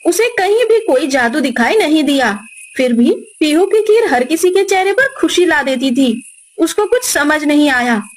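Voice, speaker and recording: Very high-voiced woman narrating children's stories. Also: lively intonation, normal speed. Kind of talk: scripted children's story narration.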